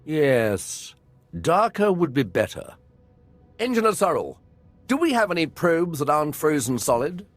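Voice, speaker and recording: An actor playing an eccentric, eager military archaeologist in a video game, musing with enthusiasm. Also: male voice, a little high, very animated, measured pace, dry recording. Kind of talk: game voice acting.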